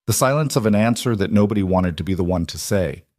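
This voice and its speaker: A man reading scripted suspense fiction as an audiobook narrator, in a measured, deliberate manner. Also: on the low side, expressive, a little mellow, no room sound, clean studio sound.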